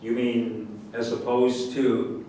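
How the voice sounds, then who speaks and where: Stage satire: male actor as self-assured, mocking rival politician, slow sarcasm, noisy roomy recording. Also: mid-range voice, flat delivery, dark tone, close to an everyday voice. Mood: sarcastic. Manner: sarcastic, unhurried. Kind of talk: staged reading of a satirical play. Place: echoey room, far from the microphone. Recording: very echoey, distant, noisy hall recording.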